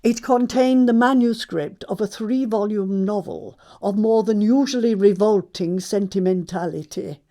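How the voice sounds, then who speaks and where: A woman's voice: medium pitch, a little slow, few pauses, dark tone, natural voice. A woman playing a snobbish, haughty older lady in a stage comedy audiobook, with an upper-class English accent and a pompous, commanding manner. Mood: accusing. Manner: pompous, commanding, emphatic enunciation.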